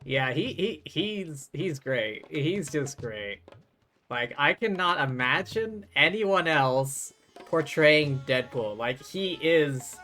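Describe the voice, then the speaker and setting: A man live-streaming a game, chatting casually and rambling to his viewers, with music softly behind him. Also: a little high, animated, takes it slowly. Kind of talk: casual chat while playing a game. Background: faint music.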